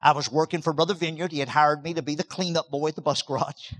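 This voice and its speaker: A man in his late sixties preaches with a high, bright voice. He stresses points by repeating words and climbing into loud bursts. His tone is sharp and forceful. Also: fairly even intonation, fast talker. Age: 60s. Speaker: pastor. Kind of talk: sermon.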